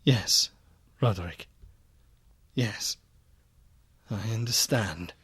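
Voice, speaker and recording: An actor playing a grave, horrified gentleman in a gothic radio play, with a solemn, ominous, deliberate delivery. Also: fairly low pitch, slightly rough, exaggerated voice. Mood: subdued, uneasy. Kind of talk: melodramatic radio drama narration and acting.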